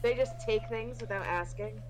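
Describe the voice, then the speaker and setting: A woman's voice: a little high, moderate pace, noisy background. A woman co-hosting a casual movie reaction show, making informal comments. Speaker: co-host. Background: film music playing.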